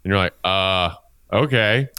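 A man's voice: low voice, a little slow, sharp tone, clear, resonant tone. A man co-hosting a sports podcast, casually explaining his analysis as he thinks aloud.

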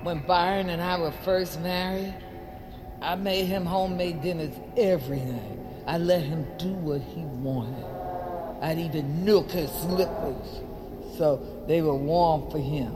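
A woman's voice: low-pitched, measured pace, noisy background. An actress playing a needy, tipsy, possessive mother in an audio drama, clingy, wheedling and rambling. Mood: bitter self-pity. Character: needy, tipsy, possessive mother, bitter about her husband. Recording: music behind the voice.

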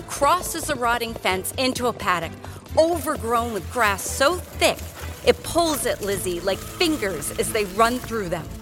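A woman narrating a scripted audio drama in a storytelling style. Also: on the high side. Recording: music bed under voice.

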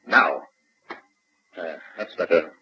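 Smug killer, muffled old radio. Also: male voice, low voice, takes it slowly. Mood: smug menace. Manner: menacing, self-satisfied, formal. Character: cold, smug male murderer holding a gun. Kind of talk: 1940s radio detective drama acting. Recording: dull, noisy old radio recording.

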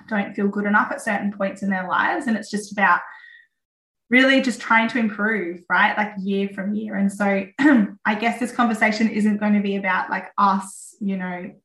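Hoarse, upbeat woman podcast host. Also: mid-range voice, fairly bright tone, roomy sound, low-quality recording. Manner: upbeat, enthusiastic, chatty with fillers. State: hoarse, recovering from lost voice. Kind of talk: casual podcast chat.

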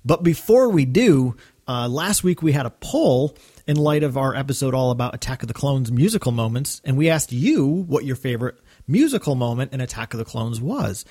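A man's voice: medium pitch, very animated, moderate pace, few pauses, mellow, husky. A man co-hosting a fan podcast, talking casually and chattily with rambling asides. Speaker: podcast co-host.

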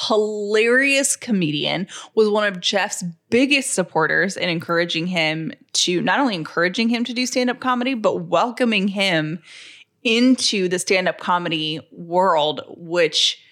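A woman's voice: lowish voice, expressive, moderate pace, a bit bright. A woman co-hosting a podcast, talking in a casual, conversational way. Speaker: podcast co-host.

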